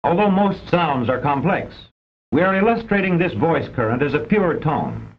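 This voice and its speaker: A man with an American accent delivers formal scripted narration for a vintage instructional film, measured and didactic with precise enunciation. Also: on the high side, very animated. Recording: dull, band-limited old film soundtrack.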